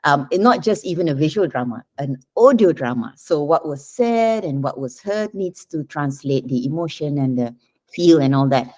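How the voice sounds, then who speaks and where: A woman's voice: sits low, expressive, normal speed, a little mellow. A woman talking reflectively in an interview as a writer, explaining and checking with little tag questions. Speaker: writer interviewed about adapting a short story.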